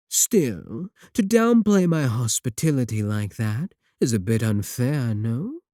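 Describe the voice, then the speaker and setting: A man playing a sly, sadistic warlock in a roleplay audio drama, smug and possessive, with taunting, deliberate phrasing. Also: mid-range voice, no echo, studio quality. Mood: mocking, menacing. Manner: taunting, drawn-out, deliberate phrasing with dark chuckles. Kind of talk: scripted roleplay audio drama, spoken to the listener.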